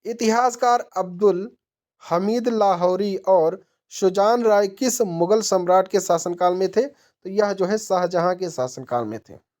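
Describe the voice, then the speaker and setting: A woman's voice: mid-range voice, measured pace, darkish tone. A woman narrating a question-and-answer quiz lesson as an exam-prep teacher, methodically posing each question and then answering it.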